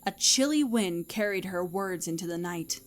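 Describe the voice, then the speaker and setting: A woman's voice: lowish voice, animated, moderate pace, a little hiss or hum. A woman reading scripted story narration as the narrator of an audio play. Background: music.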